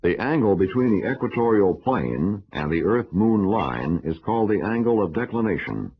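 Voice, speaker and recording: A man delivering scripted educational film narration in a formal, measured, instructional manner. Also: on the low side, big pitch swings, slightly rough. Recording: dull, lo-fi old film soundtrack.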